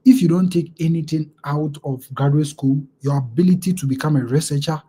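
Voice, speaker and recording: A man's voice: on the high side, brisk, warm tone, husky. A man from Ghana with a Ghanaian accent, hosting a casual interview, reflective and affirming, often repeating words as he thinks. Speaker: interview host.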